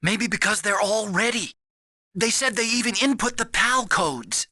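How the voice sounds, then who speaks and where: An actor playing a nerdy, excitable computer hacker in a video game, earnest and eager as he explains things. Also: sits high, normal speed, no room sound, studio quality, heavily acted. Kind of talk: dramatic video game voice acting.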